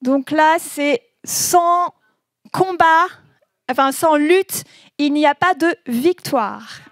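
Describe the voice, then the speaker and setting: A woman giving a relaxed presentation, reading proverbs aloud and explaining them with frequent laughter. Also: high-pitched, dry recording, studio quality. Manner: slow, laughing often, says proverbs then explains them. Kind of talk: informal presentation with translations.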